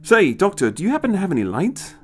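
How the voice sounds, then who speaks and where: A man's voice: high-pitched, huge pitch swings, fast talker, a little mellow, slight background noise, voice pushed up. A man voicing a curious, good-humoured male companion in a storybook, joking and wry. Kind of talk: dramatized storybook reading.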